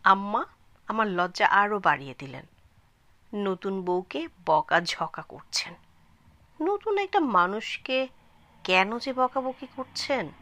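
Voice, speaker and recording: Bright-voiced woman narrating slowly. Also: mid-range voice, sing-song, no echo. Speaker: channel storyteller. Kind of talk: scripted story narration.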